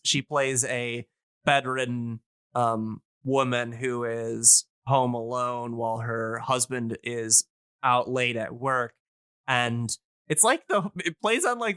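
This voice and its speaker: A man in a casual explanatory podcast chat, talking in a hesitant, halting way as he thinks aloud. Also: mid-range voice, pushed and bright, clear, resonant tone, no echo.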